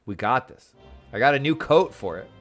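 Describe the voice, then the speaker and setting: A man's voice: mid-range voice, animated, moderate pace, a bit bright, faint music behind. A man chatting casually and enthusiastically as he streams a video game, using slang and talking to viewers.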